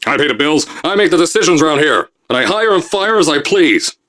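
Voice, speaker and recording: A man's voice: a little high, expressive, a little fast. An actor playing a brash, blustering male company boss recording messages for test subjects in a game. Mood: boastful. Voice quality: loud, boastful salesman bluster. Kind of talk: pre-recorded announcement messages.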